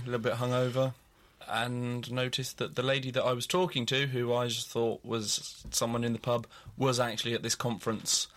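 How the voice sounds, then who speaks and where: A man's voice: mid-range voice, a bit bright. A man co-hosting a podcast, chatting casually and telling a jokey story. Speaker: podcast co-host. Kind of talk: casual chat.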